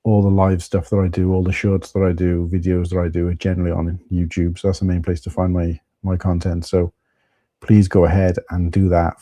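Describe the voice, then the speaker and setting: A middle-aged man, husky from tiredness, chatting casually and rambling through a live stream with many fillers. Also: low-pitched, warm tone, no room sound.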